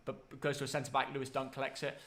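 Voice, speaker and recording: A man's voice: medium pitch, monotone, fairly bright tone. A young man from North Yorkshire with a London accent, bantering and calling play on a live football watchalong stream, reading out donations and teasing his dad. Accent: London English. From North Yorkshire, England. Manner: quick banter, teasing, reads donations aloud.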